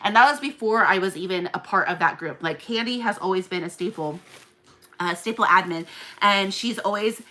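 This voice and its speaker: A woman's voice: mid-range voice, normal speed, bright, forceful tone. A woman with a New Zealand accent chatting casually on a livestream as a seller and collector group admin, rambling with lots of fillers and restarts.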